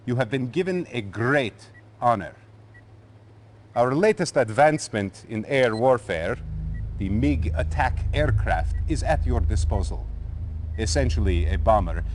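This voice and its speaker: An actor playing a stern Soviet commander in a video game cutscene, speaking in a formal, measured, authoritative way. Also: male voice, mid-range voice, a little mellow, close to an everyday voice. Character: stern, imposing Soviet military commander giving briefings. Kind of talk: video game cutscene acting, mission briefing. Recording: noisy 1990s game cutscene audio.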